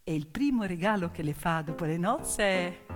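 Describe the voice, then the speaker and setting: A woman telling a story theatrically in short emphatic phrases with repetition, moving between hushed and loudly projected delivery. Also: fairly low pitch, expressive, moderate pace. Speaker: stage storyteller. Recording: long hall reverb, music behind. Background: music, piano accompaniment.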